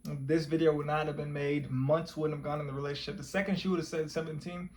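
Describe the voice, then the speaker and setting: A man's voice: fairly high pitch, fairly even intonation, quick pace, a little mellow. A man in his twenties chatting casually and candidly with his girlfriend, swearing freely. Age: 20s. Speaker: co-host of couples channel. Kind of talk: casual couple chat.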